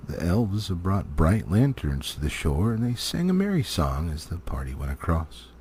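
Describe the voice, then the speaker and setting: A man reading a fantasy storybook aloud in an animated, expressive way, stumbling now and then. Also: deep voice, normal speed, slight background noise. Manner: animated, slightly stumbling cold read. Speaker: amateur audiobook reader.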